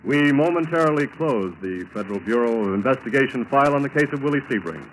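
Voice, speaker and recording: A man's voice: medium pitch, expressive, fast talker, loud music behind the voice. An American man announcing a programme in a formal, scripted 1940s radio style. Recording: noisy 1940s radio broadcast recording.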